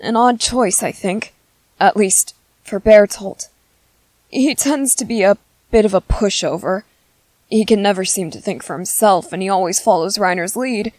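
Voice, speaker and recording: A woman voicing a gentle, shrewd teenage boy soldier in an intimate ASMR roleplay, speaking thoughtfully and hesitantly, as if quietly plotting. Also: mid-range voice, no room sound, lightly acted. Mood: analytical. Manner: hesitant, thoughtful, halting phrasing with soft ums. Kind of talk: ASMR character roleplay, intimate one-sided dialogue.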